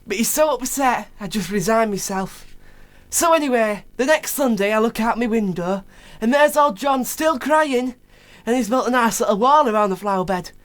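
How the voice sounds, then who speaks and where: Actress as a high-voiced gossipy neighbour. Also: female voice, lively intonation, moderate pace, lightly acted. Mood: rueful. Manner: chatty, blunt, colloquial. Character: gossipy working-class woman neighbour with blunt sympathy. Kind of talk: colloquial radio play storytelling.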